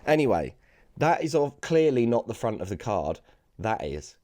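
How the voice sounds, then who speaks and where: A British man with a hoarse, raspy voice that sounds worn out, hosting a casual sports card unboxing video. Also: mid-range voice, big pitch swings, moderate pace, warm tone, dry recording, clean studio sound. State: hoarse, lost voice from screaming the night before.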